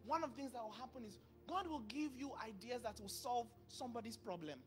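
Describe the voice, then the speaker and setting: A man in his thirties preaching a sermon into a handheld mic on a large church stage, acting out dialogue with sudden bursts of loudness. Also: extremely high pitch, brisk. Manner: animated, acts out dialogue, bursts of volume. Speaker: pastor.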